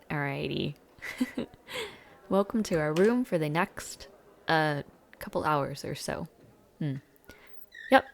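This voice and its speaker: A friendly young female student, acted by a woman in an audio roleplay, chatting one-on-one with her dormmate. Her voice sits at a medium pitch with plenty of vocal fry. She talks casually and a little hesitantly, adding afterthoughts as she goes. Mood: friendly, helpful. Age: young adult.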